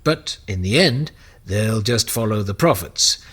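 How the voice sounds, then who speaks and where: An actor playing a patient, shrewd mentor in an audio drama, with a wry, gently instructive manner. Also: male voice, fairly low pitch, expressive, a little slow, breathy edge, exaggerated voice. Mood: patient, advising.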